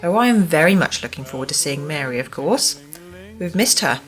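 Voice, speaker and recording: A woman's voice: deep voice, animated, brisk, faint music behind. A woman playing a prim, officious care home matron with an English accent and formal, pompous manner in an amateur radio play. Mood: cool, pointed.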